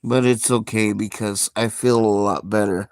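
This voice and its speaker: A man chatting on his live stream, thinking aloud slowly and softly and pausing often. His voice sits low and stays fairly flat. The sound is dry and close, with no room around it. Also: no echo. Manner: slow, soft-spoken, reflective, with frequent pauses and fillers. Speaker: livestream host. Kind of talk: casual live stream chat.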